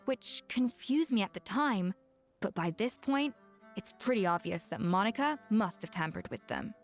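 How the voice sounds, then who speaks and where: An actress playing a blunt, exacting female genius chef in a video game, with a brisk, matter-of-fact scolding manner. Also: medium pitch, lively intonation, soft background music, close to an everyday voice.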